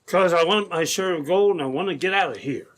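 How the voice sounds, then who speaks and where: Man acting nervous thief, higher-pitched voice, blunt, impatient, old-time radio crook. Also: fairly quick, a bit bright. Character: jumpy, impatient gold thief eager to flee.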